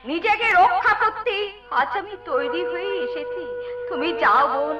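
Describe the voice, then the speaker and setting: A worldly, resolute woman in stage melodrama. She declaims emphatically in a very high voice. The sound is echoey, with music underneath. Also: very animated, normal speed, highs cut off. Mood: urgent, resolute. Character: bold, resolute worldly woman turned rescuer. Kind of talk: Bengali jatra folk theatre melodrama.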